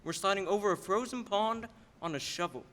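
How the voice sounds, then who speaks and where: A man playing a patient, authoritative superintendent of angels in a radio play, explaining things in a measured briefing manner. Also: high voice, expressive, very noisy. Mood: patient, instructing. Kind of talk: 1940s radio play acting. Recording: stage radio-play performance.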